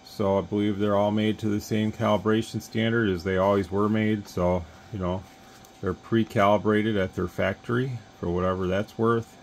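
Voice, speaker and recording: A man with a very low voice talks plainly and casually through a radio bench demonstration, now and then counting into the mic. Behind him is a faint, steady hum from the room. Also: normal speed. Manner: plain, conversational, with frequent restarts and mic test counts. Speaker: radio shop owner demonstrating equipment. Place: radio workbench full of test equipment, indoors.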